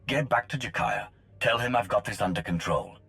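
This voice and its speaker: Deep, forceful commander. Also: male voice, huge pitch swings, brisk, gravelly, soft background music, exaggerated voice. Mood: resolute. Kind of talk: video game voice acting.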